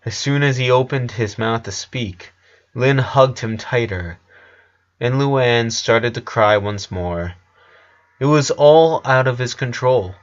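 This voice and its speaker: Slow-paced man narrating, dull recording. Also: mid-range voice. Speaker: fan fiction narrator. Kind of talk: scripted story narration.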